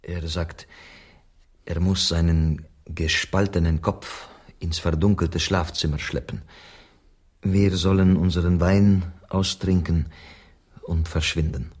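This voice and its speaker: An actor in a radio play voicing a sober, apologetic interpreter who relays others' words in a measured, deliberate way. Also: male voice, low-pitched, steady tone, husky, full character voice. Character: sober, apologetic male interpreter between two quarrelling writers.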